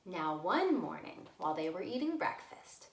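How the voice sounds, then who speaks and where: A woman telling a story to young children as a librarian, with simple, deliberate phrasing. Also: lowish voice, big pitch swings, creaky, a little hiss or hum. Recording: roomy, echoey room sound.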